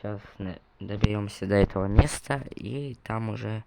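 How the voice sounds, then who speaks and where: A man's voice: fairly low pitch, normal speed, creaky, very noisy. A young man casually commenting on his gameplay, rambling and correcting himself as he talks to viewers, recovering from a cough. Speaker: gaming video creator.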